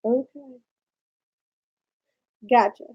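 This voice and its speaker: A woman's voice: extremely slow, mellow, lo-fi sound. A woman from Maryland with a Maryland accent, chatting in a rambling way with viewers on a live stream. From Maryland, USA. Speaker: vlogger. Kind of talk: casual live-stream chat.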